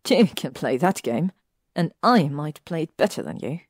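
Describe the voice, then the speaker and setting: A fierce, clever young witch in a spoken fan story, played by a woman reading it. She speaks earnestly and leans hard on her words. The voice is low-pitched and dry, with no echo around it. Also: expressive, normal speed. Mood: challenging.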